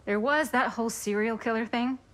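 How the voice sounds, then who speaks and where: An actress playing a knowing, reserved young American woman in a video game, wry and understated in a measured delivery. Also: fairly high pitch, a bit bright, close to an everyday voice. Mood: matter-of-fact, ominous. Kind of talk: video game cutscene acting.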